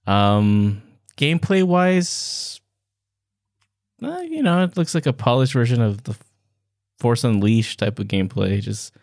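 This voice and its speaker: A man's voice: on the low side, a little mellow, no room sound, clean studio sound. A man co-hosting a podcast, chatting casually in a hesitant but playful way. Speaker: podcast co-host. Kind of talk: casual chat.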